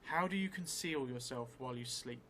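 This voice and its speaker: A middle-aged man thinking aloud as he improvises a solo tabletop game, hesitant and musing with long pauses. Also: medium pitch. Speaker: solo role-player and streamer. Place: indoor theatre room, seated at a table. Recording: clear steady background noise.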